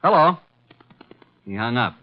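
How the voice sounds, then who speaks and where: Animated, wisecracking detective's sidekick. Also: male voice, medium pitch, measured pace, noisy background. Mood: wry, glib. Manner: dry, glib, flirtatious. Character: wisecracking, unflappable male private detective's assistant. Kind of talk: 1940s-50s radio drama acting and narration. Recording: dull old radio recording.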